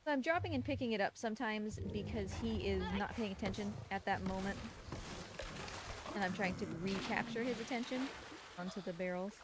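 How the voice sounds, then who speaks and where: A woman commentates live on her own gameplay in a mid-range voice. She mixes explanation with playful, coaxing asides to a game character. Game sounds are clearly audible behind her. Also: medium pitch, brisk. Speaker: speedrunner. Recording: clear background game noise.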